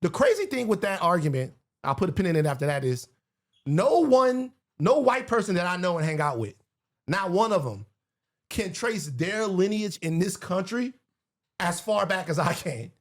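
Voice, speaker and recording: A man's voice: sits high, sing-song, moderate pace. A man speaking as a guest in a casual political conversation, emphatic and building his points through repetition.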